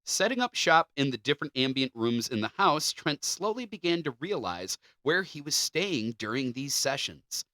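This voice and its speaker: A man's voice: mid-range voice, big pitch swings, normal speed, few pauses, sharp tone, dry recording. A man co-hosting a podcast, reading articles aloud with casual banter. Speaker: podcast co-host.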